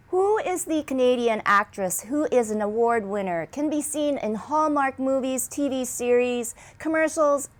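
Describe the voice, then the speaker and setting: A woman with a fairly high voice hosts a casual interview, bubbly and playful. She jokes and laughs easily as she welcomes her guest. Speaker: host.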